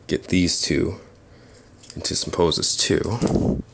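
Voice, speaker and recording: A man's voice: low-pitched, slow pace. A man reviewing toys in an informal, matter-of-fact way, as a casual hands-on demonstration. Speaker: toy reviewer. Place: indoors at a tabletop display. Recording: clear background noise, handling clicks.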